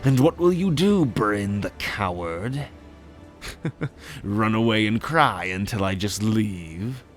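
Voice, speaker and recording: Man playing a slow, sneering, cruel merman prince. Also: on the low side, expressive, faint music behind. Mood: taunting. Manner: taunting, haughty, contemptuous. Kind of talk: dramatic fantasy roleplay audio acting.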